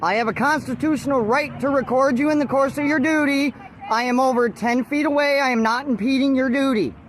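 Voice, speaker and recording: Defiant high-voiced auditor on a roadside. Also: female voice, a little fast. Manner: defiant, lecturing, with forced laughs. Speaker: first amendment auditor. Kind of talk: confrontational street recording. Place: outdoors at a roadside traffic stop. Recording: faint outdoor traffic noise.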